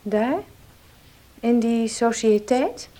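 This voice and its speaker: An actress playing a concerned, sincere young pastor's wife who asks probing questions in a radio play. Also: female voice, on the high side, drawn-out words, dark tone. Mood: probing, worried. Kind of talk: Dutch radio play acting.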